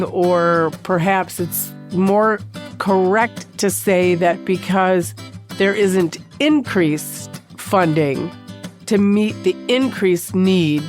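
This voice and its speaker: A woman's voice: fairly low pitch, no echo, clean studio sound. A woman hosting a podcast interview, sounding congested from a bad cold and asking earnest, concerned questions. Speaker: podcast host.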